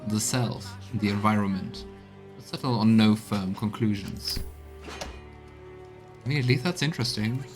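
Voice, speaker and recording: A man streaming a game, reading texts aloud and musing on them in gameplay commentary. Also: lowish voice. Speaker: let's play streamer.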